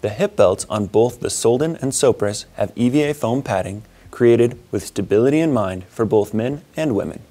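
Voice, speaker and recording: A man in his thirties, upbeat and steady, walking through product features in a scripted presentation. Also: medium pitch. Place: indoor studio with wooden wall.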